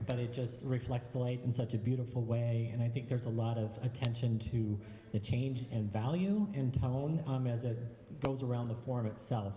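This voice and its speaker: A man's voice: medium pitch, mellow. A man giving a reflective, somewhat hesitant live critique of art pieces. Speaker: art show juror. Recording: echoey hall with long reverb, faint hum.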